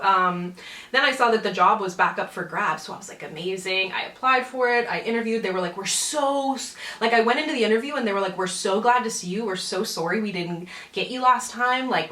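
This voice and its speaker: A young woman with a medium-pitched voice chats informally, like a beauty vlogger getting ready. Her delivery is casual and self-deprecating, with giggly asides. The sound is clearly roomy, with the microphone set some distance away. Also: pushed and bright. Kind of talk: casual chatty get-ready-with-me storytelling. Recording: roomy echo, distant mic.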